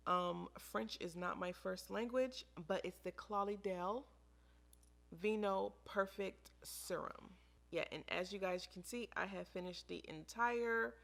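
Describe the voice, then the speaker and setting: An American woman talks casually to camera like a beauty vlogger reviewing products. Her voice sits on the low side and has a pronounced creaky fry. She is informal and emphatic, often repeating a phrase to drive it home. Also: fairly bright tone.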